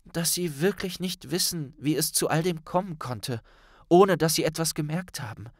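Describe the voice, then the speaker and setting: A man's voice: fairly high pitch, normal speed, no echo, clean studio sound, pitched above the performer's own voice, close to an everyday voice. Audiobook teenage witch, played by a man: earnest and stern, lifted voice, urgent delivery. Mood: serious, urgent. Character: earnest, worried teenage witch, young woman. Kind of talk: audiobook character dialogue.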